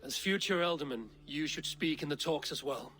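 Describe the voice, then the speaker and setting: An actor voicing a steady, stern Viking warrior leader in a video game, measured, grave and commanding. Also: male voice, on the high side. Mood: grave counsel. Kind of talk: video game character acting. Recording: music behind the voice.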